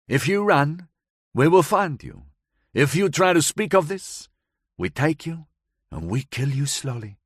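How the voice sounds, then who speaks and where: A man reading a storybook plays a hardened woman who speaks slightly broken English and relives old crimes with bitter intensity. Her voice sits higher than the narrator's and swings widely in pitch. It is recorded close and dry. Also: slightly rough, dry recording. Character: hardened vengeful woman recounting her past.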